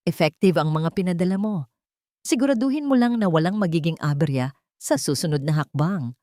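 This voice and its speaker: A scheming fiancée in a dramatic romance audio story, acted out by a woman narrator. Her pitch is fairly low for a woman and moves in lively swings. The sound is dry, with no room around it. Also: fairly quick, no room sound, lightly acted. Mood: cold, conspiring. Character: scheming woman, sweetly tearful fiancée to faces, coldly menacing in secret.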